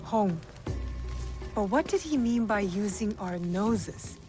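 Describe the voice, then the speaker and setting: An actress playing a serious, direct young shinobi woman in a video game, speaking with quiet resolve. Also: mid-range voice, lively intonation, slow pace. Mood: probing, serious. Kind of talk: video game cutscene acting. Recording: music clearly behind the voice.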